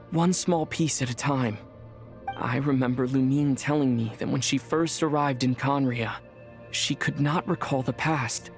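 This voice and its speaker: An actor playing a thoughtful, earnest young male traveler in a video game, speaking in a measured, reflective way. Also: medium pitch, close to an everyday voice. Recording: music behind the voice.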